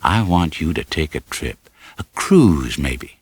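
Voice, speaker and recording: A man's voice: rumbling low, very animated, takes it slowly, husky, no room sound, heavily acted. A man takes the part of a caring younger sister in an audiobook novel. She is bossy and firm, pressing her advice with insistence, in a dry close recording. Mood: affectionate insistence.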